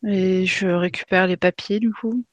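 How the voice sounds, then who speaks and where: A woman's voice: medium pitch, fairly even intonation, unhurried, no echo, lo-fi sound. Woman politely roleplaying, dry sound. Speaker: player. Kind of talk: in-character roleplay.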